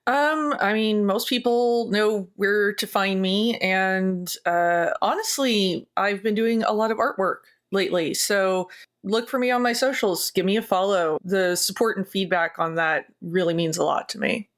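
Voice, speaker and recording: A woman's voice: mid-range voice, a little slow. A woman speaking casually as a player in a tabletop roleplaying game show. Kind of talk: casual chat.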